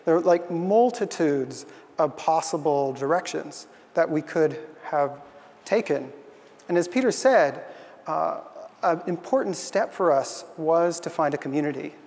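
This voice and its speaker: Young man, conference talk, earnest, filler words, fairly high voice, reverberant hall. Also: animated, a little slow, a little mellow. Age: young adult. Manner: earnest, thoughtful, with frequent uh and um fillers. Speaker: conference speaker. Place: stage in a large hall.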